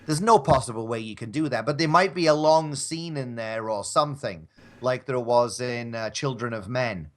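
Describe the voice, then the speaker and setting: Man with a mid-pitched voice chatting casually. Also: normal speed. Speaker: podcast guest, radio drama actor. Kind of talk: casual chat.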